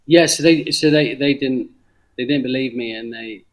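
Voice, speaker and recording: Middle-aged London man, halting, emphatic storyteller. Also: medium pitch. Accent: London English. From London, England. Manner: halting, emphatic, repeats phrases. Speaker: interview guest, former banker turned coffee farmer. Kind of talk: reflective personal storytelling in a remote interview. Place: remote video call from Indonesia.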